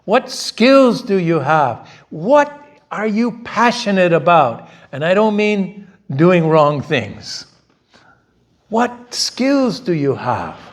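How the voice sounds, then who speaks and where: Loud, high-pitched preaching pastor. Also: male voice, very animated, takes it slowly. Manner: switches between Korean and English, emphatic preaching with rhetorical questions. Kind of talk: bilingual sermon. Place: church sanctuary.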